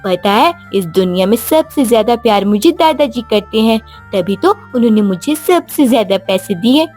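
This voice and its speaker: A woman's voice: fairly high pitch, animated, moderate pace, a little mellow, faint music behind. A woman voicing an eager, trusting young girl in a children's story, pleading and exclaiming. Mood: proud. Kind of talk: animated moral story dialogue.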